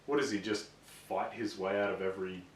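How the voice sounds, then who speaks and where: A man's voice: fairly low pitch, steady tone, brisk, a little hiss or hum. A man co-hosting a casual show of banter about games and films. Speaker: video show co-host. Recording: echoey room, distant mic.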